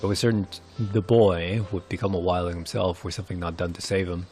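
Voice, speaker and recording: A man voicing a weary, solemn old village elder who is gentle with children, speaking in grave, archaic phrasing as in fantasy game cutscenes. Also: sits low, flat delivery, fast, soft background music. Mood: solemn, regretful. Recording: clear background noise.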